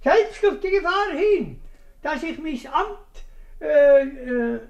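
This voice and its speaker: A man playing a pompous, flustered judge with a Swiss German accent, halting and stammering in a 1950s radio comedy. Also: extremely high pitch, lively intonation, dull audio, heavily acted. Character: pompous, flustered male judge rehearsing a moralising speech.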